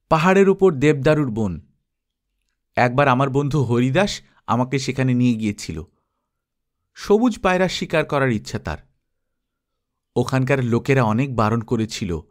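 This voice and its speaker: Man reading a story, mid-pitched voice. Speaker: story reader. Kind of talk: expressive scripted story narration.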